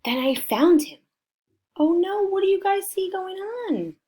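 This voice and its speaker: A woman reading a picture book aloud to children in an expressive way, prompting her listeners with questions. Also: very high-pitched, measured pace. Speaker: storybook reader for children.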